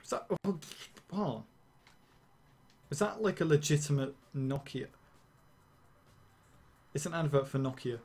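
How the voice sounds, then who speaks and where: A young man streaming a game, rambling and ranting casually with swearing and quick laughs. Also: fairly high pitch, animated, darkish tone, breathy edge, faint music behind. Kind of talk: casual gameplay commentary.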